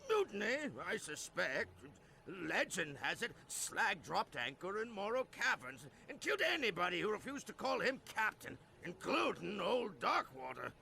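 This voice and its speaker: A man playing a crotchety, knowing old islander in a video game, with a creaky voice and a theatrical, folksy storytelling manner. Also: high voice, sing-song, moderate pace, sharp tone, a little hiss or hum, heavily acted. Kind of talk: video game cutscene acting.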